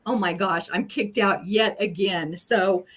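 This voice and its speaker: A woman joining a live-stream chat, talking in an animated, chatty, self-deprecating way. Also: medium pitch, a little slow, husky.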